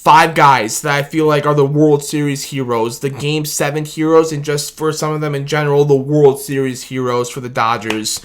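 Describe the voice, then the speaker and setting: A man hosting a sports vlog, talking fast and hyped and laughing a lot between lines. His voice sounds a bit worn from cheering. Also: on the high side, fairly even intonation. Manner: hyped, laughing often, shouting "baby" and "man". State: losing his voice from excitement. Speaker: sports video host. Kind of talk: excited casual sports commentary vlog.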